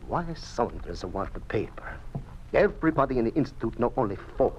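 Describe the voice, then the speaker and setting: A man's voice: medium pitch, lively intonation, a little fast, lo-fi sound, a little hiss or hum, natural voice. A man playing Mazzini, anxious immigrant scientist. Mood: urgent. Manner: broken English, urgent and pleading. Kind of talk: 1950s radio drama acting. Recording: old radio broadcast recording.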